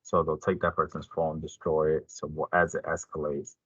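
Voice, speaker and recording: A man with a low, husky voice presents as a police detective. He explains procedure plainly and informally, with a forceful edge to his tone. The recording is somewhat dull. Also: level tone, moderate pace, no room sound.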